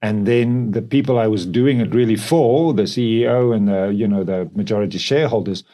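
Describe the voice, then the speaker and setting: South African man, middle-aged, mellow relaxed voice, business co-founder talking reflectively on a podcast. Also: fairly low pitch, fairly quick. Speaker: company co-founder and podcast producer.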